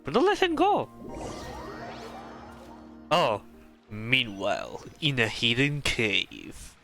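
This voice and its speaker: Woman gamer, low pitch, wildly varied intonation, casual joking commentary. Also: huge pitch swings, slow, vocal fry, bright, forceful tone, faint music behind. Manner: jokey, giggly. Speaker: streamer. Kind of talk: casual gameplay reaction.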